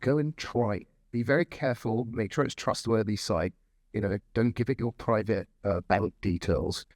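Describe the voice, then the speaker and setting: A man's voice: fairly low pitch, normal speed, darkish tone, no echo. A man speaking as a panelist who urges the audience in a persuasive way. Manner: urging, persuasive.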